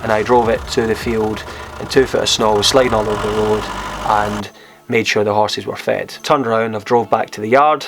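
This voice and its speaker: A man's voice: lowish voice, fairly even intonation, normal speed, noisy background. Young Scottish man telling a story. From Scotland. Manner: rambling, candid, many fillers and restarts. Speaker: vlogger. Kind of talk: casual storytelling to camera.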